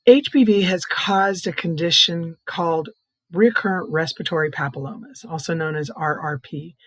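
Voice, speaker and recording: A middle-aged or older woman with a soft, hoarse, breathy voice, giving an earnest personal testimony in plain, explanatory words. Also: lowish voice, moderate pace, no echo. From Iowa, United States. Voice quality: soft, hoarse, breathy voice from vocal cord growths. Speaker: patient advocate, child care nurse consultant.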